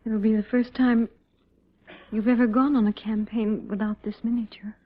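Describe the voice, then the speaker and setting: An actress playing a devoted, gently teasing army officer's wife in mid-century dramatic style. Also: female voice, a little high, flat delivery, moderate pace, close to an everyday voice. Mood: fond, teasing. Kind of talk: mid-20th-century American dramatic acting. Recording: dull old radio-era drama recording.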